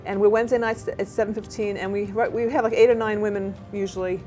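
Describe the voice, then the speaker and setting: A woman hosting a local TV talk show, chatty and animated, often tripping over her words. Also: medium pitch, fast talker, soft background music. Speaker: talk show host and therapist. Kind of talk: casual local TV interview chat. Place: local cable TV studio.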